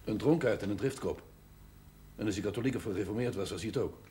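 A man's voice: fairly low pitch, quick pace, mellow, lightly acted. A man acting in a television drama as a blunt, sardonic, outspoken village doctor. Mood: dry, sardonic. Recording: echoey hall, noisy old TV soundtrack.

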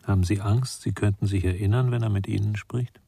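Low, gravelly, probing confidant. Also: male voice, fairly even intonation, warm tone. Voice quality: gravelly, husky. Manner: measured, questioning. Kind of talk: German radio crime drama acting.

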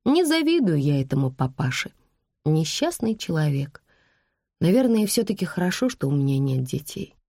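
A weary, wry woman driver, voiced by a woman reader in a fairly low voice. She is plain-spoken and musing, firm when fed up, with expressive intonation in a dry storybook recording. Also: normal speed, dry recording, exaggerated voice. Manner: low-key, musing, matter-of-fact.

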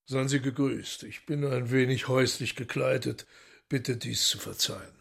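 A hoarse, imperious, courtly devil. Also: male voice, mid-range voice, steady tone, moderate pace. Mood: courteous. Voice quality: hoarse, gravelly. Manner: courtly formal phrases mixed with gruff scolding. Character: Woland, the devil, imperious, mocking, courteous lord. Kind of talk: radio drama acting.

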